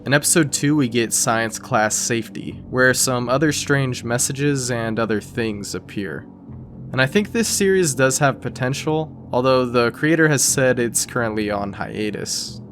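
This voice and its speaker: A man's voice: medium pitch, expressive, unhurried, a bit bright. Casual male explainer narrator over music. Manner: conversational, informal. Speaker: video essay narrator.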